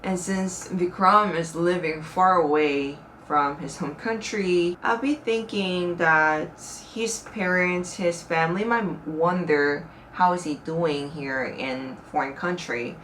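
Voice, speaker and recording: A young Korean woman talks to her viewers in a casual, earnest way, her English carrying a Korean accent and a few halting turns of phrase. Her voice sits fairly low for a woman. She sounds a little far from the mic, with faint background hiss in the room. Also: fairly bright tone. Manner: chatty, earnest, with hesitant second-language phrasing. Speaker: vlogger. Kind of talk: casual talk to camera. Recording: slightly distant mic with faint room noise.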